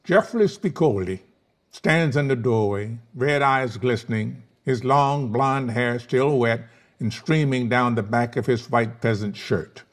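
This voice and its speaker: A man reading scene headings and stage directions as narrator in a scripted table read. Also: mid-range voice.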